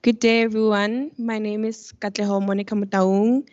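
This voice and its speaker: A woman's voice: mid-range voice, flat delivery, normal speed, darkish tone. A young South African woman, a law student, introducing herself on a panel in a polite, slightly self-conscious way. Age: young adult. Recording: dull lo-fi sound.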